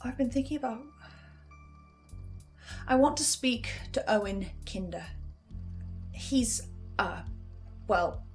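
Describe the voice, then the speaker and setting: A woman's voice: a little high, lively intonation, slow, pauses often, over music. Woman playing an earnest, hesitant apocalypse survivor. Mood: uneasy, reflective. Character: troubled, defiant woman archivist surviving a supernatural apocalypse. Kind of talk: horror audio drama monologue.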